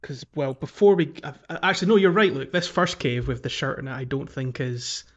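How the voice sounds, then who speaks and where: Man, podcast co-host, chatty and rambling, casual gaming talk, mid-pitched voice. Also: expressive, fairly quick, highs cut off. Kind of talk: casual video game podcast chat.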